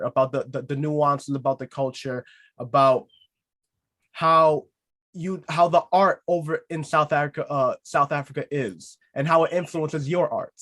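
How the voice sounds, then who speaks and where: American man, podcast host, higher-pitched, chatty, jokey, stumbles and restarts. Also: fairly bright tone. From United States. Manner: playful, rambling, many restarts. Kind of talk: casual interview chat.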